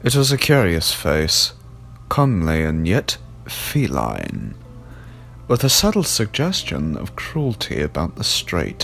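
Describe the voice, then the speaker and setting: Guy with a really deep voice reading old horror fiction, slow and measured, bit of music underneath. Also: fairly bright tone. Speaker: horror story narrator and host. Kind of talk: scripted narration of a gothic horror story.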